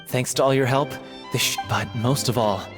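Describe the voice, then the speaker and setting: A mid-pitched voice from a man acting as a young stage performer in a video game, polite and sincere, with clear music behind. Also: fairly even intonation, moderate pace, close to an everyday voice. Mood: grateful. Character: earnest young troupe performer, grateful, apologetic.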